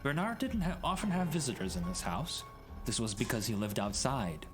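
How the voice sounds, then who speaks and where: Theatrical male storyteller with very animated pitch. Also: moderate pace. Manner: theatrical, mock-serious, addresses the listener. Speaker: storyteller, streamer reading aloud. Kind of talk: dramatic reading of a comic story. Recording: music added behind the voice. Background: music and sound effects.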